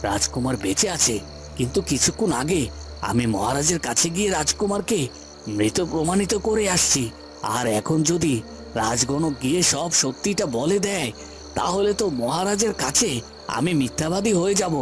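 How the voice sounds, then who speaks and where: A man's voice: a little high, big pitch swings, normal speed, soft background music. A man playing a scheming, deceitful villain in a fairy-tale cartoon, with a theatrical, self-questioning delivery. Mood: alarmed, scheming.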